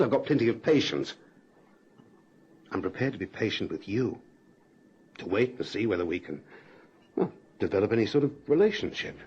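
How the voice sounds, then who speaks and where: An actor playing a direct, talkative, self-assured suitor in a radio drama, teasing and persistent. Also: male voice, a little high, slightly rough, full character voice. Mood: indignant. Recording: dull lo-fi old radio recording.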